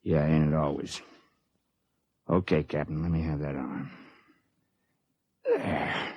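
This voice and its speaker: An actor playing a gruff, slangy army sergeant in a 1950s radio drama. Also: male voice, extremely low pitch, steady tone, slow, a little mellow, no echo, exaggerated voice. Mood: weary, matter-of-fact. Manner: colloquial, barking orders.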